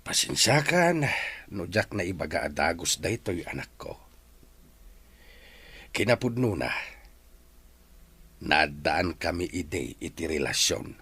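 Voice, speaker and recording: A man's voice: sits low, very animated, measured pace, pauses often, fairly bright tone, slightly rough, dry recording, exaggerated voice. An actor playing a poor, regretful middle-aged father in an Ilocano audio drama, pleading earnestly with his son. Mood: apologetic, pained.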